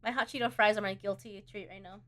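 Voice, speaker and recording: A woman's voice: mid-range voice, steady tone, fast talker, a bit bright. A woman hosting a casual let's-play, reading game text aloud and breaking off into chatty asides. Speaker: host of a gaming channel.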